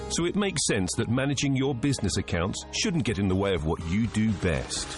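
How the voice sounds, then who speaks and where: A man narrating a scripted commercial in a persuasive, measured voice. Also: on the low side. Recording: voice-over with music bed.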